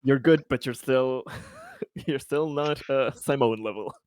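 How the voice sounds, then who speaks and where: A man's voice: medium pitch, unhurried, a little mellow, smooth, no echo, studio quality. A man playing a tabletop game, teasing and joking with the other players. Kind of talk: casual game chat.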